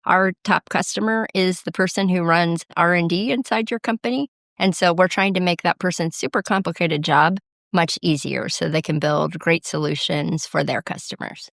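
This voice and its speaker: Woman with a low gravelly voice. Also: moderate pace, a bit bright, no echo, studio quality. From Midwest US, Ohio. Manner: thoughtful, many fillers and restarts. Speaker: guest, chief financial officer. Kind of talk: conversational interview answers.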